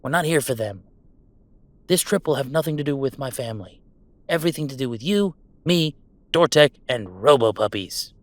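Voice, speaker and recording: A man's voice: medium pitch, expressive, moderate pace, dry recording, close to an everyday voice. A man playing a goofy young werewolf streamer in an intimate roleplay audio drama, talking in casual, mock-grandiose banter with small hesitations. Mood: defiant, resolute. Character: goofy young male werewolf streamer, playful, defiant about family. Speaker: game streamer.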